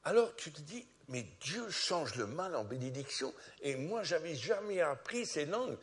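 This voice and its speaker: A man from France giving a sermon as a pastor, animated and rising to loud peaks, often repeating words as he starts sentences. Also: on the high side, moderate pace. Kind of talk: testimony sermon.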